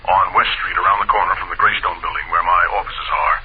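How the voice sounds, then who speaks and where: Man playing an anxious low-voiced banker. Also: fairly even intonation, fast, lightly acted. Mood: urgent, worried. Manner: urgent, formal, terse. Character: anxious, urgent male banker pleading for help. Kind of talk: 1940s radio acting. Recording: muffled old radio recording.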